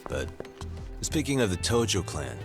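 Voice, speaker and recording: An actor voicing a level-headed private detective asking questions in a video game. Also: male voice, low voice, normal speed, gravelly, exaggerated voice. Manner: calm, inquisitive. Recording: music playing behind. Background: music.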